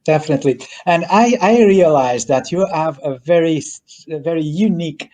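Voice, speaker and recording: A man's voice: fairly high pitch, animated, normal speed, warm tone. A man hosting an interview, speaking with hesitant fillers and slightly non-native phrasing, over a video call. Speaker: host, event organizer. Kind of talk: interview host.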